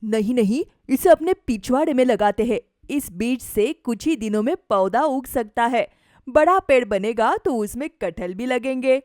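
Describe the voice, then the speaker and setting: A kind village mother, voiced by a woman narrator in a cartoon moral tale. She is gentle and practical, with a fairly high voice recorded dry and close. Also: lively intonation, measured pace, no echo. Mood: advising. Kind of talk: animated moral story dialogue.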